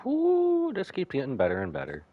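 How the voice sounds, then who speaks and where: A man's voice: high voice, huge pitch swings, normal speed, dry recording, narrow, tinny audio. A man livestreaming a story game, reacting casually and playfully with dramatic exclamations. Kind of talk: casual live gaming reaction commentary. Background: game music.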